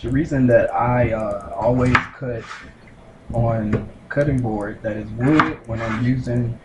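A young man casually demonstrating how to prepare food in a small home kitchen, explaining each step slowly with frequent pauses and fillers. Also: medium pitch. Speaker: home cook. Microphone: camera mic at a distance. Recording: roomy kitchen with loud background noise.

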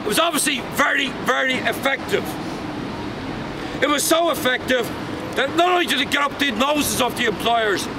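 Older Irish man, walking tour guide, high voice, emphatic reading from notes, heavy street traffic around him. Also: moderate pace. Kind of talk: outdoor walking tour talk. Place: busy city street outdoors. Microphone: far camera. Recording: loud traffic noise outdoors.

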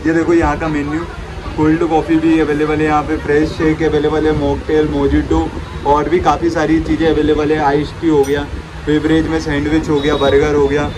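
A man's voice: fairly high pitch, completely flat delivery, a little fast. A young man, chatting casually to viewers as he films a food vlog on a phone held at arm's length in a cafe. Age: 20s. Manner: chatty, keeps addressing viewers as bhaiya. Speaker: food vlogger. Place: cafe, indoors and out front on a city street. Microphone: phone at arm's length, selfie. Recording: noisy street and cafe sound.

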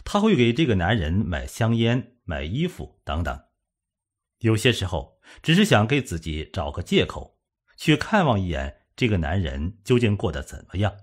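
A man reads a suspense novel aloud as a dramatic narrator. His voice sits fairly low and has a rough, husky grain. The delivery is solemn, with extremely animated rises and falls in pitch. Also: normal speed. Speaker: audiobook narrator.

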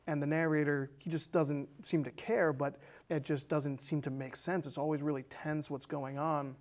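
Guy in his 30s, voice a bit on the high side, casually retelling a movie like on a film chat show. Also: level tone, normal speed, darkish tone. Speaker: film discussion show co-host. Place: small indoor studio set with curtains.

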